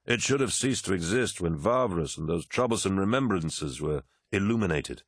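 A man voicing a commanding, brooding warlord in an audiobook, stern and deliberate. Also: sits low, expressive, slightly rough, no room sound, studio quality.

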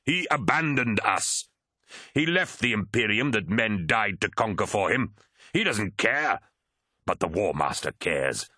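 A man's voice: lowish voice, sing-song, a little slow, sharp tone, no room sound, exaggerated voice. A man performs a fanatical military commander from a science fiction audiobook. He rants with scorn and force, barking orders and contempt. The recording is close and dry. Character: contemptuous male war-machine commander, fanatical traitor.

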